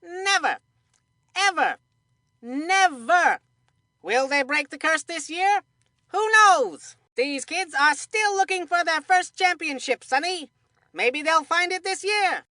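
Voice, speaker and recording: A high-pitched, folksy old-ballgame commentator for a cartoon video game. The delivery is bright and forceful, colloquial and exclamatory, captured in a dry, close studio sound. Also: female voice, animated, unhurried, no echo, heavily acted. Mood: upbeat, folksy. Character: excitable, folksy retired baseball commentator, cartoon sidekick. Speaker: sports commentator in a children's baseball video game. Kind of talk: cartoon baseball color commentary.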